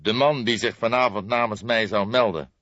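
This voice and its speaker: A man's voice: lowish voice, normal speed, fairly bright tone, voice pushed up, natural voice. A man voicing a stern, commanding old police detective in an audiobook. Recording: dull, roomy audiobook recording.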